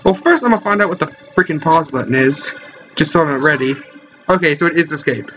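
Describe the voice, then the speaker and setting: A man's voice: on the high side, expressive, fairly quick, a bit bright. A young man hosting a casual let's play in his bedroom, joking and reading game text aloud. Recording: lo-fi webcam audio with game music.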